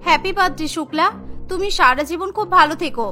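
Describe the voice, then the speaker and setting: A woman playing a high, protective young sister-in-law. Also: fast, pushed and bright, faint music behind. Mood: consoling. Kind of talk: Bengali cartoon dubbing.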